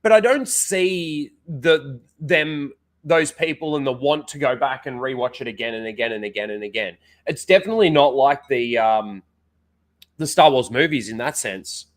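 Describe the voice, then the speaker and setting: A man's voice: medium pitch, moderate pace. A young man with a blocked nose, hosting a casual livestream, rambling with lots of fillers and greeting people in the chat. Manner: rambling, filler-heavy, reads out chat names. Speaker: live stream host.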